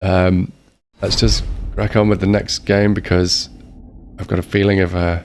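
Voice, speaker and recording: A man's voice: deep voice, level tone, unhurried, slight background noise. A man talking through a geography game, muttering his thoughts, his voice strained as if a cold is coming on. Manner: muttering thoughts aloud while searching. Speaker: streamer playing a geography game. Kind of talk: casual gameplay commentary.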